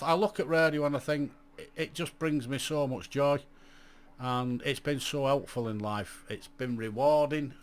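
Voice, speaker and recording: A man close to sixty talks through his life in radio. His voice sits at an ordinary pitch, and his delivery is blunt and plain-spoken. He describes himself as a bit grumpy, and he keeps it chatty, with lots of "you know"s. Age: 50s. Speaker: radio amateur vlogger. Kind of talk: reflective casual monologue to camera.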